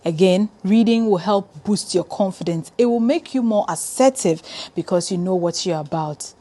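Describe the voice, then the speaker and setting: A woman's voice: medium pitch, normal speed, dark tone. A woman from Ghana, a news anchor, speaking confidently and assertively.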